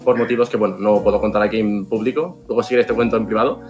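A man's voice: lowish voice, fairly even intonation, over loud music. A man, chatting confidently as a contestant on a video-call dating show. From Spain. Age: 20s. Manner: fast, self-assured, chatty. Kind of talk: casual self-introduction.

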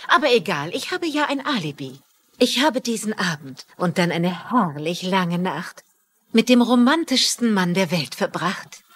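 A woman's voice: mid-range voice, very animated, measured pace. An actress playing a coy, shameless woman writer of scandalous books in a dubbed TV crime drama. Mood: breezy, dreamy. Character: coquettish, shameless female romance author under suspicion.

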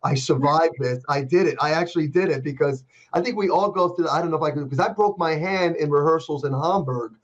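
An older man hosting a casual interview over a video call from a dark room, rambling with frequent restarts. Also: fairly high pitch, fairly quick, hardly any pauses. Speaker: podcast host. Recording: dull lo-fi video call audio.

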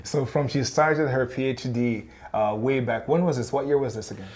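A man in his thirties hosting an interview show, introducing his guest and asking relaxed follow-up questions in a small room. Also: mid-range voice, normal speed. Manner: upbeat presenter intro, then relaxed prompting. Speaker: host. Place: small room with bookshelf, indoors.

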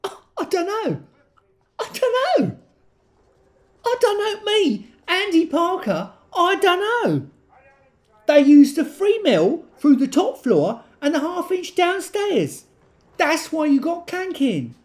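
High-pitched, insistent plumber. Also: male voice, huge pitch swings, slow pace, exaggerated voice. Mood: defensive. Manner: colloquial, repetitive, protesting. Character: chatty working-class plumber, defensive and insistent. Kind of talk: audio drama monologue.

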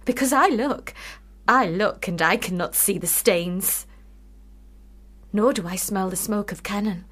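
An actress in a period radio drama playing a lovelorn, sharp-witted sister, speaking with formal, deliberate, cutting irony. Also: female voice, medium pitch. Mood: bitter scorn.

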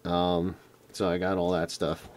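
A man with a lowish voice that is going hoarse, clearing his throat now and then. He rambles in an easygoing, enthusiastic way, full of ums and uhs, like someone showing off his latest finds on camera. He is recorded indoors by a camera mic while his hands work on a table. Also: monotone, measured pace. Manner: rambling, filler-heavy, enthusiastic. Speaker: retro game collector vlogger. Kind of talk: casual unboxing vlog. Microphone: camera mic off-screen, hands on table.

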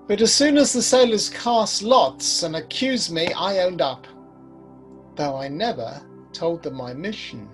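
Man playing slow-spoken older prophet. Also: on the high side. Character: older Jonah, rueful reflective prophet recalling his past. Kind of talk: dramatic monologue, reflective storytelling. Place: home study, indoors. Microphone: video call.

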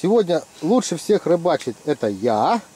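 A man's voice: fairly high pitch, normal speed, a little hiss or hum. A middle-aged man chatting casually and teasing his friends while ice fishing in a tent. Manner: joking, teasing friends, lively. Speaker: fishing vlogger. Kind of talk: casual commentary.

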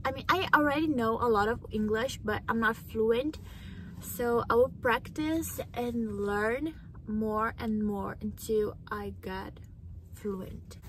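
Teenage girl, Brazilian Portuguese accent, slightly high voice, slow and searching for words, recorded in a car. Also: fairly bright tone, a little hiss or hum, low-quality recording. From Japan. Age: teens. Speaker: vlogger. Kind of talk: casual chat to camera. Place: parked car, indoors. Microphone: phone camera on dashboard.

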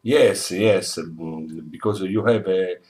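A man's voice: low-pitched, takes it slowly, darkish tone. A middle-aged man with an Italian accent interviewing a friend at his home, searching for words in English, recorded on a webcam. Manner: hesitant in English, many fillers and restarts. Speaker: interviewer, host. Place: his home living room. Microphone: webcam at desk.

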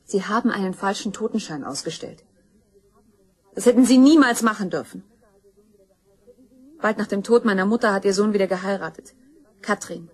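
Actress doing young woman out for justice, crime radio drama, husky, insistent and accusing. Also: medium pitch, lightly acted. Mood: grave, insistent. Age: young adult. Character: grim, determined young woman seeking justice for her murdered mother. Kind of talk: German crime radio play acting.

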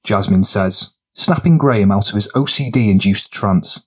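A man's voice: on the low side, unhurried. A man reading scripted fiction narration as an audiobook narrator.

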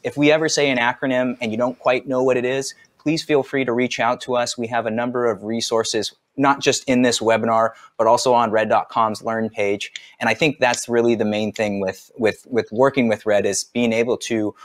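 A man in his forties giving an energetic, conversational product demonstration from a home studio over a video call. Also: mid-range voice, normal speed, few pauses. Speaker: camera company trainer.

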